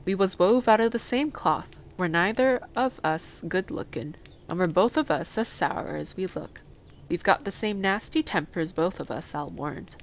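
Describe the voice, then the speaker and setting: A woman's voice: lowish voice, lively intonation, normal speed, slight background noise. A woman voicing a surly, plain-spoken old gardener in a storybook reading. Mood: sour, frank. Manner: blunt, gruff dialect speech. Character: surly, blunt old Yorkshire gardener man.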